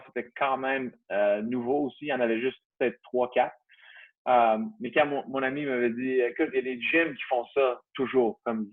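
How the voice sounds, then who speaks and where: Hesitant Canadian French man on a tinny line. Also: mid-range voice. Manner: hesitant, many restarts and fillers. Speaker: guest, CrossFit gym owner. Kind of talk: casual interview.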